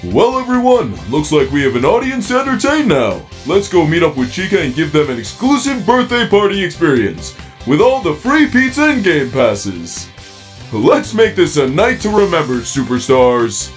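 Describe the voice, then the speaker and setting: Man doing a welcoming animatronic bear host, mid pitch, very animated delivery, music under it. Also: normal speed. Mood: showman. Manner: showman, welcoming. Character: warm fatherly animatronic bear entertainer.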